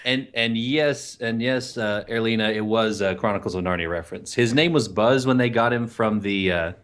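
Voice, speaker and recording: Male co-host, deep voice, relaxed and rambling, laughs easily. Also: moderate pace. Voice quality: deeper voice. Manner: rambling, with frequent restarts and easy laughter. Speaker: podcast co-host. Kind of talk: casual chat on a live podcast stream.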